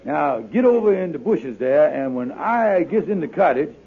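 A tinny, noisy vintage radio recording of a scheming landlord, pitched high with a gravelly creak. He blusters and wheedles in folksy, ungrammatical talk before a studio audience. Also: big pitch swings, normal speed, exaggerated voice. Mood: conspiratorial. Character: scheming, wheedling male con-man landlord in a radio comedy. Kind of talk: 1950s radio comedy acting.

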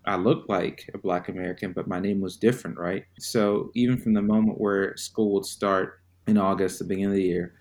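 Podcast guest from Zimbabwe: low-pitched man, unhurried, reflective storyteller. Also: steady tone, darkish tone. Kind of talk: personal storytelling.

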